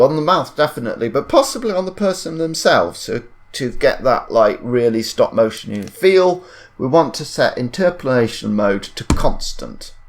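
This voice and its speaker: A man giving an informal software tutorial, thinking aloud and correcting himself in a hesitant way. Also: medium pitch. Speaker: tutorial presenter.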